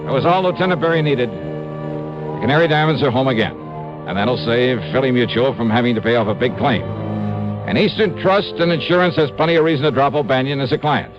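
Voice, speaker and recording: A man's voice: mid-range voice, animated, close to an everyday voice. A man playing a wry radio private eye. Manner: dry, wry narration and brisk talk. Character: wry tough male insurance investigator. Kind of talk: 1950s radio drama acting. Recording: dull old radio broadcast with faint music.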